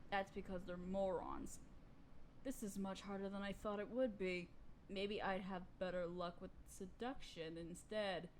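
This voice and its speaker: A woman's voice: mid-range voice, steady tone, a little slow, a little hiss or hum, lightly acted, rough recording. A woman playing a vain, domineering evil sorceress queen in a fantasy audio roleplay, speaking in an imperious, theatrical drawl. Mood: impatient, haughty.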